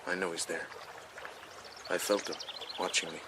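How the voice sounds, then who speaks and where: An actor playing a wry, hearty gold prospector in a naturalistic radio drama, by turns understated and exuberant. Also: male voice, deep voice, flat delivery, moderate pace, background noise, lightly acted. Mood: wry, thoughtful.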